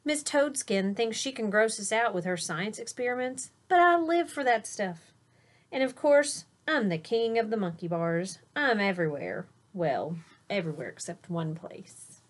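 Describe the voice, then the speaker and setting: A woman's voice: mid-range voice, lively intonation, normal speed, lightly acted. A woman voicing a cocky schoolboy class clown from a storybook, boastful and emphatic. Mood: proud, emphatic.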